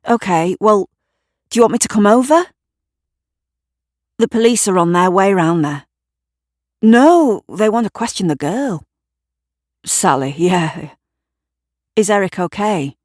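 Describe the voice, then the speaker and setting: A woman's voice: mid-range voice, no echo, studio quality, voice pushed up. A woman playing an anxious, well-meaning male neighbour on the phone in an audio drama, hesitant and apologetic. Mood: anxious, urgent.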